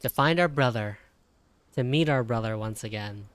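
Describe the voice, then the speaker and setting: A woman's voice: rumbling low, normal speed, a little hiss or hum. A woman giving casual, chuckly live reactions as she plays a video game. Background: game music.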